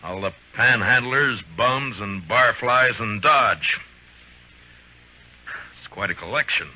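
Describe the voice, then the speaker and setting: A man's voice: mid-range voice, slow. An American man acting a stern, weary, middle-aged frontier lawman in a radio western, terse, dry and commanding. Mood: scornful, challenging. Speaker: radio actor. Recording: dull, noisy old radio recording.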